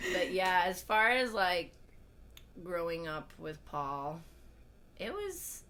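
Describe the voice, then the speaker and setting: A young woman with a hoarse voice, a podcast guest chatting casually at home, laughing often and trailing off mid-sentence. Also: lowish voice, fairly bright tone, slight background noise. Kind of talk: casual interview chat. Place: family home.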